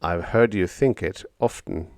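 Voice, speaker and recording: Man voicing a solemn, low-pitched, polite rebel robot. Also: darkish tone, dry recording. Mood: polite, complacent. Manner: solemn, polite, measured delivery. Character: eight-foot male android rebel, polite, solemn, smug. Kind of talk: audiobook character dialogue.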